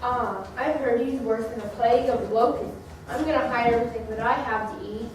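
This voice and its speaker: A woman's voice: a little high, fairly quick, close to an everyday voice. A woman playing a skeptical, scornful monster villager in amateur reader's theater. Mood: fearful. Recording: echoey room, distant mic, background noise.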